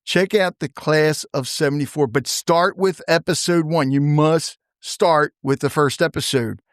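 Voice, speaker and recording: A man's voice: on the high side, measured pace, dry recording, studio quality. A man hosting a podcast, upbeat and laughing often, first reading a scripted introduction and then chatting casually. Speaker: podcast host.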